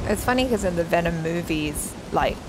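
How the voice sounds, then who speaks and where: A woman's voice: on the low side, animated, normal speed, heavy background noise. A woman giving casual, exclamatory live commentary as a reaction show host. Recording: loud game music behind voice. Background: video game soundtrack and effects.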